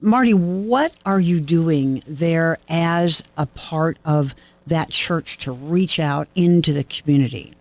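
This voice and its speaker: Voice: medium pitch, takes it slowly, a little mellow, dry recording. Radio interviewer, friendly and conversational, asking questions.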